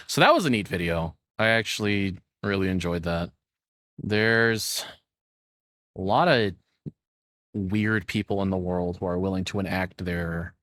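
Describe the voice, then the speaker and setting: A man's voice: low-pitched, a bit bright, no echo, studio quality. A man chatting casually as a livestreamer, rambling and swearing in an informal way. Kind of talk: casual reaction stream chat.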